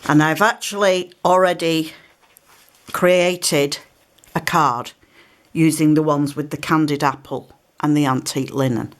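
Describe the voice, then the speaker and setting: Low-voiced woman with a cold. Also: unhurried. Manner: relaxed, chatty, explains while working. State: has a cold, occasional coughs. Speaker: craft tutorial presenter. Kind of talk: step-by-step craft demonstration. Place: indoors at a craft table. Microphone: overhead camera on table, hands shown.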